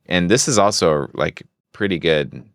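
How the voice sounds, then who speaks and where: A man with a low, smooth voice chats as a podcast co-host. He explains things casually and conversationally, in a dry, close recording. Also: animated, measured pace, fairly bright tone, dry recording. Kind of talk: casual comic-book recap chat.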